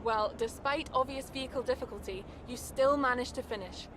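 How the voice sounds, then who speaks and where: Lady as polite but pushy racing reporter in a game, high pitched, composed, noisy background. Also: a little fast, close to an everyday voice. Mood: polite, probing. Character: probing, polite female motorsport press interviewer. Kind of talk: media interview in video game cutscene.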